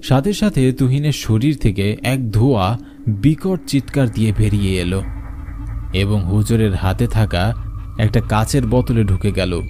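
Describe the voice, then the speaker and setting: A man's voice: fairly low pitch, measured pace, warm tone, a little hiss or hum. A man narrating a scripted horror story for a cartoon.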